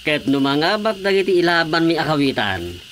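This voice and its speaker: An actor playing a sly, scheming village cockfight gambler in an Ilocano comedy radio drama, with an Ilocano accent. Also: male voice, a little high, very animated, moderate pace, a bit bright, slight background noise. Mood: sly, boastful.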